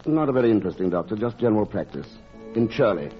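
A fast-talking English gentleman doctor, courteous with a dry, teasing streak. It is radio drama acting on a dull vintage recording with music behind. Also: lowish voice, sing-song, husky. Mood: polite, friendly. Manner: polite, dryly playful. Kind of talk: old-time radio drama dialogue.

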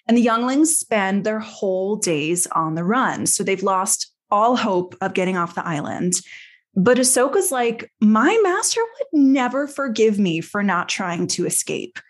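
Playful woman recapping a story. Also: medium pitch. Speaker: podcast host. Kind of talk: lively episode recap in casual chat.

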